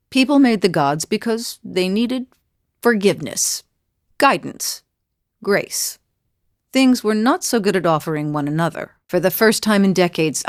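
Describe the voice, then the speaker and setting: A woman's voice: on the low side, dry recording, studio quality. A woman playing a weathered, good-humored mercenary in a video game, speaking in a wry, plainspoken, reflective way. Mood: earnest. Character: weathered, world-weary but good-humored female mercenary seeking forgiveness. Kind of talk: scripted video game character dialogue. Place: dry studio voice recording.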